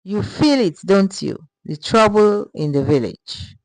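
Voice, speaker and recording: A woman voicing a wise village elder woman, solemn and gentle, with a measured, soft but firm delivery in a folktale. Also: mid-range voice, expressive, no room sound, low-quality recording. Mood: grave.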